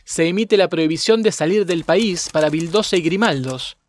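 A man's voice: sits high, moderate pace. A man reading scripted documentary narration in a formal, factual manner. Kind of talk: scripted narration of dated events.

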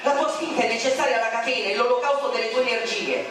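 High-voiced man declaiming in a huge echo. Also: steady tone, fast talker. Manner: declaiming with indignation. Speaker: poetry reader. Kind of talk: theatrical poetry recitation. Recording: very echoey stage recording from far off, music behind.

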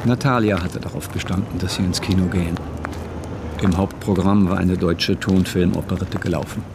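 A sober radio play narrator, really deep male voice, reading a period story, noisy background. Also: fairly even intonation, normal speed. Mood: matter-of-fact. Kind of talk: scripted literary narration in radio drama. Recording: clear background noise.

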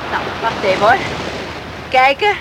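High-voiced motherly island farm woman. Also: big pitch swings, a little slow. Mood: intent, concerned. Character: sturdy, caring older island farm woman, matter-of-fact. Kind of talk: Dutch radio play acting. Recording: noisy radio drama with wind and surf.

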